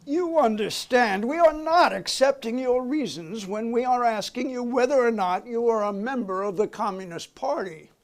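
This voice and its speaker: Man as an insistent, high-voiced American interrogator. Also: normal speed, hardly any pauses. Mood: pressing. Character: insistent, formal male committee interrogator. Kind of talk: dramatic reading of a hearing transcript.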